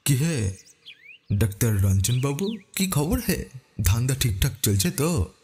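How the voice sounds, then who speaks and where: A man's voice: medium pitch, sing-song, unhurried, a little mellow, soft background music, pitched above the performer's own voice. A man playing a sarcastic, impatient police chief who needles people in a horror audio story. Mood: mocking. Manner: mocking, needling, colloquial.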